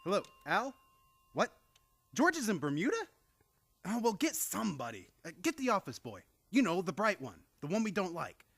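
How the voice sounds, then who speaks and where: An actor playing a flustered, exasperated nephew and theatre critic in a live radio comedy, stammering and theatrical. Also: male voice, sits high, wildly swooping pitch, normal speed, gravelly, a bit bright, heavily acted. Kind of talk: live old-time radio comedy acting.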